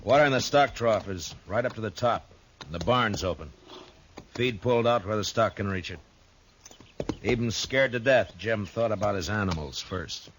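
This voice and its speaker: A firm, steady frontier marshal, played by a man in a 1950s radio western. He speaks in a measured, low-key way from a somewhat low voice. There is strong hiss from the old broadcast recording. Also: close to an everyday voice. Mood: calm. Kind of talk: 1950s radio drama acting.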